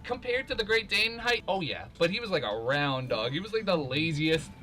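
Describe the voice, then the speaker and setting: A man's voice: on the high side, big pitch swings, brisk, pushed and bright. A young man telling stories casually during a game, rambling along with plenty of "like" and "you know". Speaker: gamer, co-host. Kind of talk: casual storytelling over gameplay. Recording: clear background noise.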